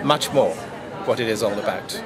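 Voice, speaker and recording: A man's voice: on the low side, animated, normal speed. A middle-aged man casually addressing the camera at a conference in a large indoor lobby. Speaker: conference attendee. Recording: busy public hall with chatter.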